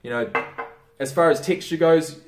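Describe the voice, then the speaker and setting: A young Australian man casually giving diet tips in a chatty, swearing, relaxed vlog manner. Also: fairly high pitch, moderate pace, soft background music. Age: young adult. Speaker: fitness vlogger. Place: indoor room by a window. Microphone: camera mic on desk. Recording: roomy, mic a bit distant.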